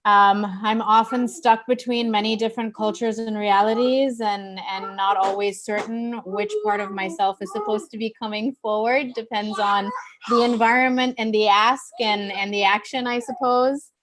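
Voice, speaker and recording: A woman's voice: medium pitch, fairly even intonation, moderate pace, noisy background. A woman from Pakistan, a panelist introducing herself in a discussion, talking reflectively with frequent "um"s.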